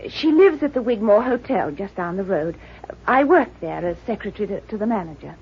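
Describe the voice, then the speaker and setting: An actress playing an eager, polite young secretary in an old-time radio mystery, flustered and apologetic in manner. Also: female voice, medium pitch, animated, brisk, husky. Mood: anxious, urgent. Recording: dull vintage radio recording.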